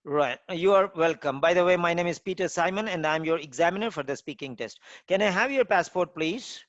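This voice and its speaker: Man in his role as examiner: measured, formal questions, slightly higher voice, dry dull audio. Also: dry recording. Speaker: IELTS trainer playing examiner. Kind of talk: mock exam interview.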